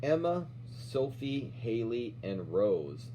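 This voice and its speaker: Slow-talking man reading a storybook. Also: mid-range voice, big pitch swings, dark tone. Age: middle-aged. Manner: checks in with questions, often ending "right?". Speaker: martial arts instructor reading to children. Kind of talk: storybook read-aloud with explanatory asides. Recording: dull lo-fi sound with faint hum.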